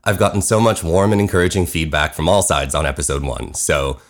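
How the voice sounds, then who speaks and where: A man hosting his own podcast, talking candidly and quickly in a soothing radio voice. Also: rumbling low, fairly even intonation. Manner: fast-talking, conversational, candid. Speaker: podcast host. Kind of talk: personal podcast narration.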